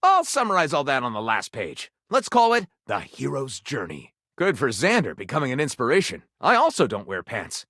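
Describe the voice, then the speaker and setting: An actor playing a theatrical, wry male storyteller in a game, narrating tales dramatically and savouring each title with pauses. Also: medium pitch, wildly swooping pitch, bright, forceful tone, no room sound, studio quality, exaggerated voice. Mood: playful, wry.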